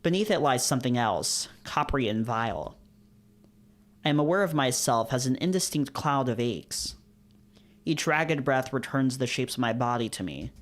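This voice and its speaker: A man's voice: medium pitch, expressive, normal speed. A man hosting a visual novel read-through, moving between narration and casual chat. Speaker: host of visual novel readings.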